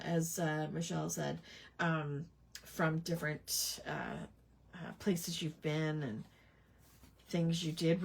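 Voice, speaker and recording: A woman hosting a casual crafting livestream, rambling and chatting to viewers while she works at home. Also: lowish voice. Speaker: craft channel host.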